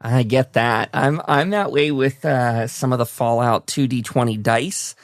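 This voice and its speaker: A man's voice: medium pitch, measured pace, no room sound, clean studio sound. A man hosting a casual interview show, speaking in an enthusiastic, gushing manner. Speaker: talk show host. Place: basement, at a table.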